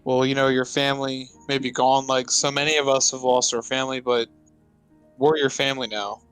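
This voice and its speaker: A man's voice: mid-range voice, little pitch movement, brisk, fairly bright tone, clear, resonant tone, faint music behind, rough recording. A man playing a tabletop roleplay game, talking casually and speaking for his character.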